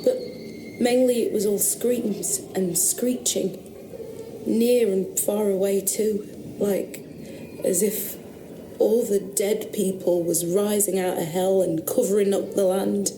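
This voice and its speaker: A woman's voice: mid-range voice, fairly even intonation, reverberant, noisy background. Shaken country girl. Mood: fearful. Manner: halting, hesitant, rustic speech. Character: frightened young country woman, insistent witness. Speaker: witness questioned about noises. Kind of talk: radio drama acting.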